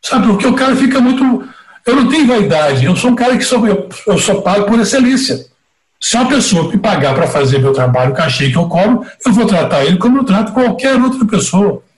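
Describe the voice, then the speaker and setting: A man's voice: high-pitched, sing-song, brisk. A middle-aged or older Brazilian man with a Brazilian Portuguese accent, telling anecdotes casually and giving emphatic advice as an interview guest. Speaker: interview guest, veteran concert producer. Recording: roomy, echoey sound.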